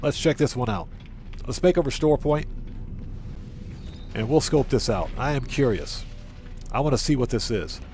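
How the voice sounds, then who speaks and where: Male game streamer, medium pitch, casual think-aloud chatter with repeated phrases, faint music underneath. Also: lively intonation, normal speed, long pauses, darkish tone. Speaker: livestream host. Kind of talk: casual gaming livestream commentary, greeting chat.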